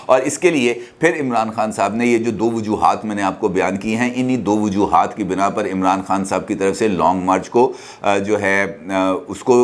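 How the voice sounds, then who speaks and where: A man with a Pakistani accent giving informal political news commentary as a host, talking conversationally. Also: on the low side.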